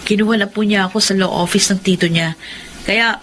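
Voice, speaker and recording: Actress as young principled daughter, wistful, medium female pitch, radio drama with faint ambient noise. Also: fairly even intonation, brisk, fairly bright tone, close to an everyday voice. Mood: hurt, earnest. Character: hurt but principled young woman lawyer, a grateful daughter. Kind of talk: Filipino radio drama acting.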